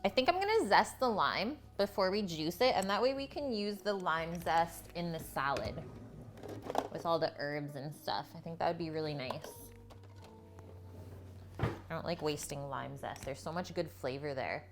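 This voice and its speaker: Female cooking host, slightly low voice, informal and chatty, casual storytelling to a live audience, faint music underneath. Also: expressive, normal speed.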